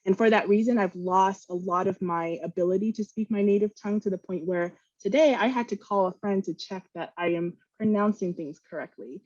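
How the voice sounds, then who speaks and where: A woman whose first language is Vietnamese presents a training webinar. She is earnest and sincere, pausing on fillers and restarting sentences. Her voice sits at a middle pitch, and the call audio sounds dull and muffled. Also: darkish tone.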